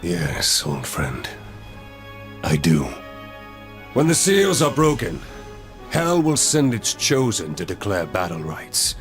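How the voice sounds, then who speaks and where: A man voicing a zealous angel leader in a video game, slow, forceful and persuasive. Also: low voice, huge pitch swings, soft background music, full character voice. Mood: resolute. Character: zealous commanding male angel leader, proud. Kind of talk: video game cutscene acting. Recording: game score under the voice.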